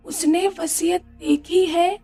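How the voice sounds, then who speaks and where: A woman playing a defiant, shrewd old village widow in an audio play, speaking in a deliberate, challenging way. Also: extremely high pitch, fairly even intonation, creaky, warm tone, soft background music, heavily acted. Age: older.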